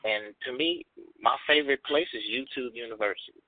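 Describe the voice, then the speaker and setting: A man's voice: medium pitch, fairly quick, no room sound. A man in his forties, a podcast guest talking candidly and emphatically, repeating phrases for stress. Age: 40s. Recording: dry tinny phone-like audio.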